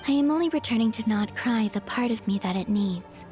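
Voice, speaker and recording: A woman performs a wistful moon goddess for a game cutscene. Her voice sits fairly high, soft and breathy, with measured, sincere phrasing. She sounds grateful and devoted, with music playing softly behind her. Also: lively intonation, lightly acted. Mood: serene, resolute.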